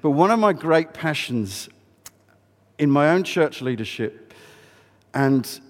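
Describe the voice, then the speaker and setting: A man's voice: fairly high pitch, animated, slow. A middle-aged man from England giving a friendly, conversational address at a church service, with many fillers and restarts. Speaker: guest speaker, charity chief executive. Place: large old church building. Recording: reverberant hall.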